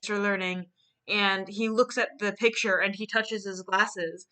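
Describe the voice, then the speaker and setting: A woman's voice: medium pitch, fairly even intonation, a little slow, pushed and bright. A woman chatting casually as she hosts and retells a silly story, playfully acting out the quoted lines. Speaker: host of a live online dramatic reading and drawing stream.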